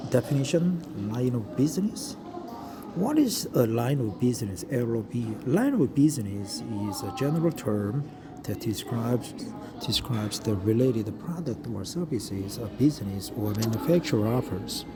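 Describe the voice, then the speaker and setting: A middle-aged Korean man with a Korean accent reads English aloud for practice, his voice sitting at an ordinary middle pitch. He stops often on fillers and repeats phrases he likes. A steady hum of noise runs under the recording. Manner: hesitant, frequent uh fillers, pauses to savor phrases. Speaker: English learner reading aloud. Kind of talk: self-study reading practice with commentary. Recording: noticeable background noise.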